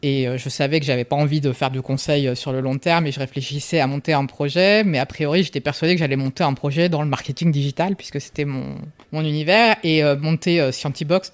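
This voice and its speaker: A woman in her forties, a startup founder, talking casually and reflectively as an interview guest, often tagging her sentences. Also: low-pitched. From Paris, France. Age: 40s. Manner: relaxed, reflective, tags sentences with "hein".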